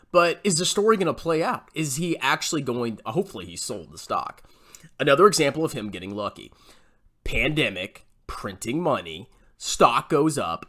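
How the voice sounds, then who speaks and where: Mocking man with wildly swinging pitch. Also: pushed and bright. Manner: mocking, exasperated, blunt. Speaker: YouTube host reacting to a video. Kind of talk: sarcastic reaction commentary. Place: small room indoors at home.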